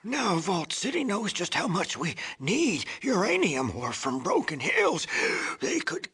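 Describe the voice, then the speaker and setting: An extremely hoarse, rasping old ghoul from a video game, crotchety and wisecracking. He drawls along slowly in a folksy way, wheezing, with pitch that swings wildly from low grumbles to high cracks. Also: huge pitch swings, a bit bright, exaggerated voice. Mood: grumpy, alarmed. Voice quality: raspy, gravelly, wheezy with a cough. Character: cantankerous, wisecracking old male ghoul, an irradiated undead survivor. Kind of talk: video game character acting.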